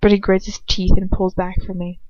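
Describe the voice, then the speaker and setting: A woman's voice: mid-range voice, little pitch movement, fairly quick, warm tone, no echo, dull audio. A woman reading a first-person story dramatically, stumbling now and then and rereading phrases. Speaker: amateur fan fiction reader.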